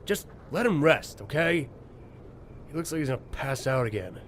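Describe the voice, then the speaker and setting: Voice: mid-range voice, very animated, moderate pace, slight background noise, natural voice. An actor playing a brash, defensive, short-tempered young man in an audio drama, snappy and sarcastic. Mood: irritated.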